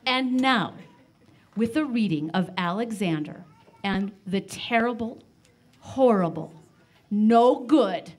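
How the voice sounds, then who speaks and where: A woman hosts a live stage broadcast in an effusive, playful way. She takes her time over her words, in a medium-pitched voice. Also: lively intonation, slow, long pauses, darkish tone. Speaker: radio show host. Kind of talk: live hosting to an audience. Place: live broadcast in library meeting room. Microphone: stage microphone.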